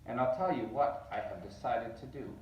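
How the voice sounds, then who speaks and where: A man's voice: medium pitch, moderate pace, lightly acted. A man voicing a friendly but fretful doorman in a rhyming children's storybook. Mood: resolved. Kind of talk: children's storybook read-aloud. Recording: roomy, distant, dull sound.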